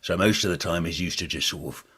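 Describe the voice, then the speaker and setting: A man with a husky voice, a voice actor casually explaining how a character speaks. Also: low voice, quick pace, bright, forceful tone.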